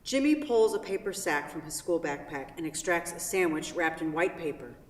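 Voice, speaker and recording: A woman's voice: sits low, expressive, moderate pace. A woman narrating and hosting a staged reading of a play. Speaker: stage-directions narrator and event host. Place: theatre hall. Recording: very echoey hall, distant mic.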